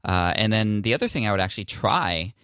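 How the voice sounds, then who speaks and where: Male podcast host, low voice, dry sound, relaxed encouraging advice with restarts. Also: fairly quick, clear, resonant tone, no room sound. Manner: conversational, encouraging, restarts often. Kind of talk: casual advice monologue. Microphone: podcast microphone, standing.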